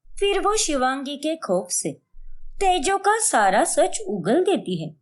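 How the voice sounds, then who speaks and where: A woman narrating a scripted animated moral story as its storyteller. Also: high-pitched, slow.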